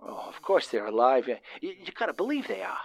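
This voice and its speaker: An actor playing a nervous, excitable young working man of the 1930s, stammering and using folksy slang, in a radio drama. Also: fairly high pitch, fast talker, vocal fry, heavily acted. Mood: apologetic, concerned. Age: young adult. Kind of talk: old-time radio drama acting.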